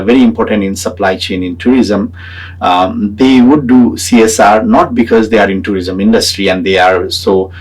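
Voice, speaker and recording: Nepali guy w a low slightly raspy voice, grad student explaining his research, kinda halting. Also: poor audio. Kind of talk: semi-prepared explanatory talk about own research.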